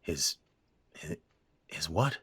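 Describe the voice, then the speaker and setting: An actor playing a stiff, uneasy middle-aged father in an audio drama, talking in gruff, clipped lines. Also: a bass voice, very animated, drawn-out words, sharp tone, no room sound, exaggerated voice. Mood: defensive.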